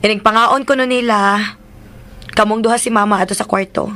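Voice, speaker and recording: A bright female voice from an actress plays a long-suffering grown daughter in radio drama style. Her Cebuano-accented delivery is conciliatory and pleading, patient with everyone around her. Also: medium pitch, steady tone, brisk, natural voice.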